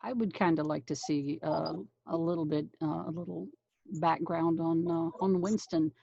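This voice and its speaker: A woman with a creaky, gravelly voice speaks casually and tentatively, pausing often. The sound is dry and a bit dull, like lo-fi call audio. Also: sits low, fairly even intonation, mellow, no echo. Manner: tentative, halting. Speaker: voice actor on a panel. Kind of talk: casual panel chat.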